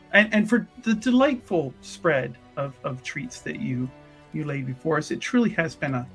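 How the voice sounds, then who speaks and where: A player performs a genteel religious sister for a tabletop game. The voice is slightly husky and very animated in pitch, with effusive, formal phrases that stumble and repeat. She is gracious and eagerly curious, over faint music. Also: heavily acted. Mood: grateful. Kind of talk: improvised tabletop roleplay.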